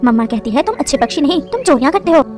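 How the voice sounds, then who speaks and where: A woman's voice: high-pitched, very animated, rapid-fire, a little mellow, faint music behind. A woman voicing an innocent, obedient little boy sparrow in a cartoon, with a childlike, earnest manner.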